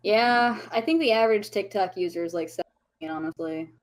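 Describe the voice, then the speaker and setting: A woman in her twenties, a podcast guest calling in remotely, talking casually and swearing freely as she rattles off stories. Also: medium pitch. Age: 20s. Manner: chatty, swears freely, fast storytelling. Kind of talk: casual chat. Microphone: remote voice call.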